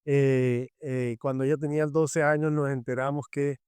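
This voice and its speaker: A man's voice: medium pitch, level tone, normal speed, clean tone, no echo. Venezuelan man hosting a faith podcast. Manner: warm welcoming, many fillers and restarts. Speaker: podcast co-host.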